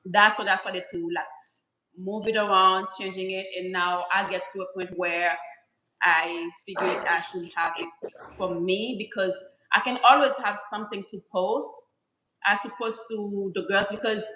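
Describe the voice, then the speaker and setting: A woman's voice: medium pitch, steady tone, normal speed, pushed and bright. A woman from Haiti, a guest YouTuber, chatting casually in a rambling way full of fillers over a video call. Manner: rambling, full of "like" and "you know". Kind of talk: casual live-stream chat. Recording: echoey room with faint hiss.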